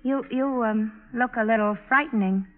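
Young woman writer, played by an actress: high pitch, impulsive, earnest, emotional, tinny vintage radio drama. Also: moderate pace, natural voice. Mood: flustered, hurt. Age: young adult. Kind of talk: 1940s radio drama acting. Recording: phone-like old 1940s radio broadcast.

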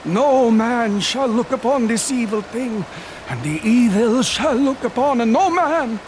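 A tortured old priest in a radio drama. He speaks very slowly and gravely, intoning with doom-laden fervor and guilt. Rushing rain and water sound clearly behind his voice. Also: very high voice, expressive, a little hiss or hum, poor audio. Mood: solemn, weary. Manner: grave, solemn, intoning prayers.

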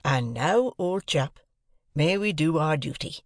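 A storyteller voicing a slow, kindly country blacksmith who talks in halting, rambling phrases with mangled grammar. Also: mid-range voice, animated, no room sound, clean studio sound. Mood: earnest, halting.

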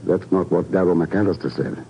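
An actor playing a cool, wry secret agent in a 1950s radio drama, clipped and self-assured. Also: male voice, sits low, moderate pace. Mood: cool, probing. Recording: muffled, noisy 1950s radio recording.

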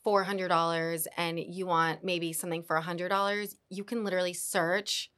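Chatty middle-aged woman, slightly low voice. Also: level tone, moderate pace, a bit bright. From Dallas, Texas. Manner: chatty, lots of "like" and "you know" fillers. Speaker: guest, fashion influencer and mom. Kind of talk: casual interview chat.

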